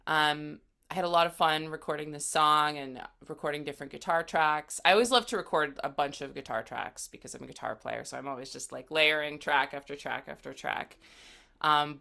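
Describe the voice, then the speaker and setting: Young woman musician chatting in an interview, pretty low voice but bright, casual and conversational. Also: creaky. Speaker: musician guest, singer and guitarist.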